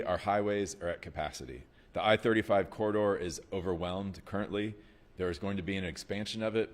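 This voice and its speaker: Deep-voiced man giving a speech. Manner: measured, reads from prepared remarks. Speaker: elected official at a press conference. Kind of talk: prepared speech in support of a bill. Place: press conference.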